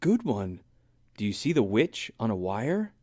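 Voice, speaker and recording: Man reading as a decisive, lively girl bear cub. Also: lowish voice, a little slow, dry recording, clean studio sound. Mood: enthusiastic. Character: bright, decisive young girl bear cub, enthusiastic planner. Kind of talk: children's storybook character voice.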